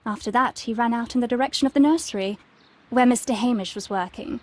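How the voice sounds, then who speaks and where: A woman's voice: fairly high pitch, fast talker, darkish tone, lightly acted. A woman playing a composed young researcher in a video game, answering politely and precisely. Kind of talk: scripted game dialogue, giving testimony.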